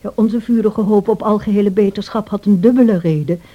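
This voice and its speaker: An actress playing a devoted, troubled housekeeper who tells a tragic story in a radio drama, in a measured, confiding way. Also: female voice, mid-range voice, close to an everyday voice. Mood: sombre storytelling. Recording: dull old radio play recording.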